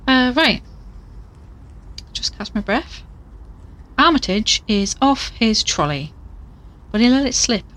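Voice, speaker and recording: A woman's voice: on the high side, very animated, slow pace, long pauses, fairly bright tone, exaggerated voice. A woman playing a determined, wry investigator in a horror audio drama, plain-spoken and conversational with some urgency. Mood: wry, resolute. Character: determined, wry female investigator racing against doom.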